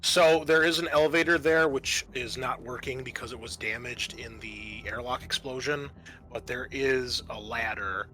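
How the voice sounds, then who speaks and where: A man's voice: mid-range voice, moderate pace, pushed and bright, poor audio. A man running a tabletop game as game master, narrating in a casual, conversational way.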